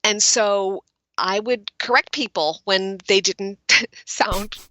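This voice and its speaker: Bright-voiced woman, crisp and articulate. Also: mid-range voice, slow. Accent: General American. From Brooklyn, New York. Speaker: executive speech coach guest. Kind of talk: podcast interview.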